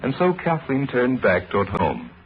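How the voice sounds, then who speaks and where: A man narrating a dramatic story in a solemn, measured old-time radio style. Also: medium pitch, sing-song, a little hiss or hum. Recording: old radio broadcast, dull sound. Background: music.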